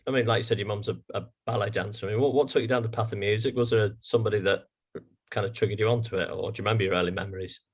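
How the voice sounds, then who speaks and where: A man's voice: lowish voice, fairly even intonation, racing, breathy edge. A man asking casual interview questions in an informal, affirming manner.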